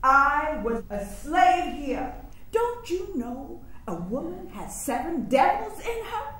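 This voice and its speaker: A woman's voice: sits high, big pitch swings, takes it slowly, a bit bright, a little hiss or hum, exaggerated voice. An actress playing a proud, defiant formerly enslaved woman orator in a staged play reading. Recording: echoey theater from far off.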